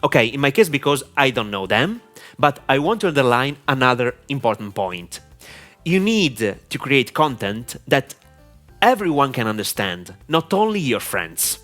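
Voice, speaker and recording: A man with an Italian accent, whose first language is Italian, giving a deliberate, clear instructional talk to camera with frequent "uh" fillers. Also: mid-range voice, very animated, fairly bright tone, soft background music. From Italy. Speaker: online marketing mentor.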